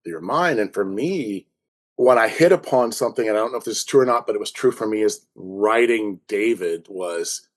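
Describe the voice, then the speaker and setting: A man's voice: on the low side, normal speed. A man chatting casually as a podcast guest, a writer explaining his approach in a thoughtful, tentative way. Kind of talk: casual interview chat.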